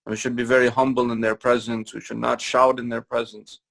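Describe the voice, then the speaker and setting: A man giving a Ramadan lecture in a mosque, speaking in measured, repeated phrases and reciting Quranic Arabic between his English explanations. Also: mid-range voice, little pitch movement, vocal fry, fairly bright tone, rough recording. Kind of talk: sermon-style religious lecture.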